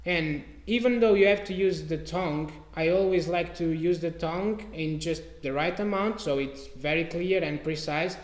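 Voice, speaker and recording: A man from Portugal with a Portuguese accent, teaching an online trombone masterclass in a relaxed, halting way. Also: a little high, echoey room. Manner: relaxed, halting, sings out note syllables to demonstrate. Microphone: video call mic.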